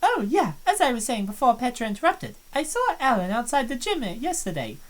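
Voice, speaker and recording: A woman's voice: a little high, very animated, a little fast. A woman voicing a confident, teasing plump college student in a fan-voiced visual novel. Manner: self-assured, teasing.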